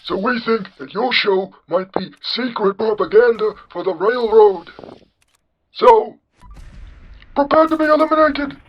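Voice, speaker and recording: High raspy old man robot, cranky and kinda dim, comedy skit, lofi mic. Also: lively intonation, measured pace, dull audio. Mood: menacing. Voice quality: exaggerated generic old man voice. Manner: blustering, repetitive, scolding.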